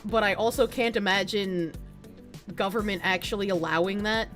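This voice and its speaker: A woman's voice: medium pitch, normal speed, fairly bright tone, soft background music. A woman with a mild Boston accent, chatting casually on a livestream, reading donations and answering chat in a rambling, frank, profane way with frequent restarts.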